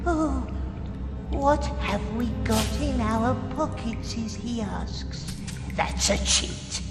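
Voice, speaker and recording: An actor voicing a wretched, scheming cave creature in a video game, with a strangled raspy whine and hissing, broken speech. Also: male voice, very high-pitched, takes it slowly, big-room sound, heavily acted. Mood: bitter, spiteful. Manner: hissing plural self-talk, broken grammar. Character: wretched, scheming, whining cave creature obsessed with his treasure. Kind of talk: theatrical video game acting. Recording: loud music behind the voice.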